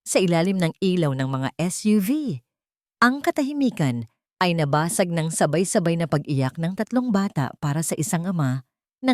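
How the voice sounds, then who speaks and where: A woman's voice: deep voice, darkish tone, no echo, clean studio sound. A woman narrating a dramatic love story, earnest and emotional.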